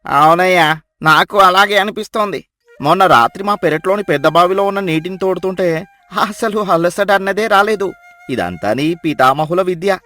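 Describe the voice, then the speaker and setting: Guy playing arrogant village chief, highish male voice, boastful n commanding, story drama, bit of music under. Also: moderate pace, pushed and bright. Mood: pleased, boastful. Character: arrogant, gullible village headman boasting of his strength. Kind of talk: dramatised moral story dialogue. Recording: music behind the voice.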